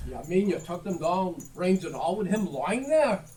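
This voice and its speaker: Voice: medium pitch, sing-song, normal speed, warm tone, lightly acted. A performer playing a sly, wheedling old rag dealer of nearly seventy in an amateur Dickens stage play. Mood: amused, incredulous. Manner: wheedling, mock-hospitable, haggling. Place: theatre stage, live performance. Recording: live stage recording, distant and noisy.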